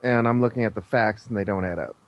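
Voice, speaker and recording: A man with a fairly low voice is a guest on a radio interview. He speaks conversationally, in halting phrases, and is firm about his opinions. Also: dry recording, dull audio. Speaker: interview guest, former air traffic controller.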